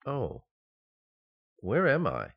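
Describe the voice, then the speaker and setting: An actor playing a flustered, easily shocked husband and father-to-be in a radio sitcom, excitable and dithering. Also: mid-range voice, drawn-out words, creaky, dull audio, exaggerated voice. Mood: dazed. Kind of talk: old-time radio sitcom acting.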